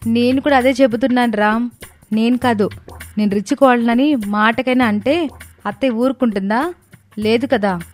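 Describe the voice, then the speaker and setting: Woman acting plucky high-pitched young daughter-in-law. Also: moderate pace, soft background music, lightly acted. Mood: earnest, arguing. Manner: earnest, argumentative, explaining. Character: young daughter-in-law, dutiful, thrifty, plucky but respectful. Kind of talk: dramatized moral story dialogue.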